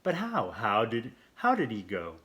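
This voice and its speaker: A man's voice: on the low side, huge pitch swings, measured pace, a bit bright, full character voice. A man voicing an eager, curious young male chick-pig child in a storybook, asking urgent, wondering questions.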